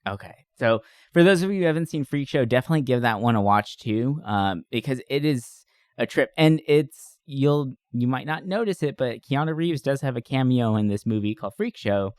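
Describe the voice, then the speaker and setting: A man with a medium-pitched voice talks as a podcast co-host in a dry recording. He is enthusiastic and informal, chatting casually and swearing now and then. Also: animated, moderate pace, darkish tone, dry recording. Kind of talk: casual chat about movies.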